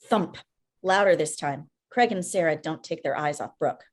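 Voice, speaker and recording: A woman's voice: low voice, moderate pace. A woman reading scripted screenplay stage directions as narrator on a video call. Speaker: filmmaker, stage-direction reader.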